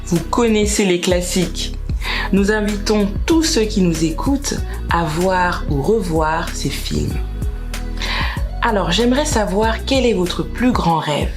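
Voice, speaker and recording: A woman's voice: medium pitch, lively intonation, slow. Woman hosting over background music. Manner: formal, welcoming, reads prepared questions. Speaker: podcast host. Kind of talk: scripted interview questions.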